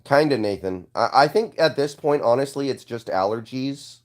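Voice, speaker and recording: A young man hosting a casual live stream, congested and hoarse, answering viewer comments with an offhand manner and frequent throat clearing. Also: medium pitch, animated, moderate pace.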